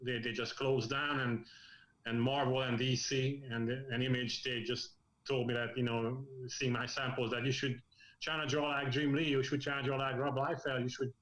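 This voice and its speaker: A man's voice: mid-range voice, level tone, moderate pace, a bit bright. A man in his forties who grew up in Hungary and the US, talking frankly about his work as a comic artist. He rambles and restarts his sentences. His voice has a roomy echo. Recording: echoey room.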